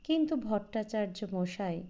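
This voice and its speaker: A woman's voice: medium pitch, animated, unhurried, mellow, highs cut off. A woman reading a literary short story as an audiobook narrator, in a scripted narration style.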